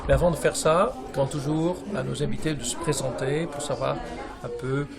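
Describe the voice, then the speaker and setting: A man's voice: a little high, steady tone, brisk. A man hosting an interview, asking casual questions and stumbling over his words. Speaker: host interviewer. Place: bar evening event with crowd. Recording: crowd chatter behind.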